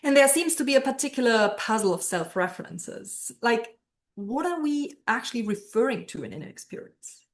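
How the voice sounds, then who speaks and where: A woman's voice: on the high side, very animated, normal speed. A woman speaking as an interviewed philosophy professor, explaining ideas in a thoughtful way and posing questions to herself.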